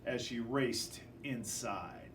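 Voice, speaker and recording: A man reading a children's picture book aloud in an expressive, dramatic storytelling manner. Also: medium pitch, slow, roomy sound. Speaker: storybook reader. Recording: distant microphone with faint background noise.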